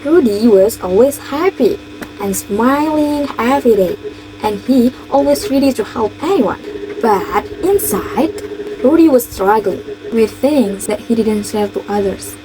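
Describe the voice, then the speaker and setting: Young Indonesian-accented woman, higher voice. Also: lively intonation, unhurried. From East Java, Indonesia. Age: young adult. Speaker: university student presenter. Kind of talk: rehearsed presentation and simple storytelling. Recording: clear background noise.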